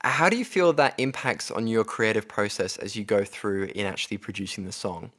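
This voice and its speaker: A man with a slightly low voice co-hosts an interview about music. He asks his questions conversationally and informally. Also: brisk, fairly bright tone. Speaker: interview co-host. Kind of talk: casual interview chat.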